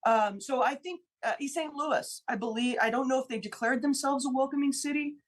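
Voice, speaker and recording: A woman's voice: high-pitched, fairly quick. Emphatic woman, a politician on talk radio. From Illinois. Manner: emphatic, repeats key figures for stress. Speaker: state senator guest. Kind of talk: radio interview, political argument.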